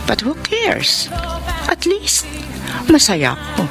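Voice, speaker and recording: A woman's voice: high voice, huge pitch swings, slow, music in the background, full character voice. An actress playing a smitten middle-aged career woman in a radio drama, dramatic and sentimental, with a Filipino accent. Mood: reflective, defiantly happy. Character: smitten older career woman, a film producer, telling her love story. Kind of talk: Filipino radio drama acting and letter narration.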